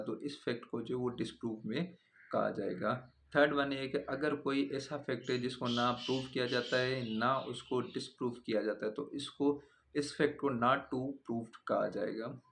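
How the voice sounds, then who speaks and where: A man's voice: mid-range voice, a bit bright, a little hiss or hum. A man lecturing on law, explaining step by step, repeating points and mixing in English legal terms.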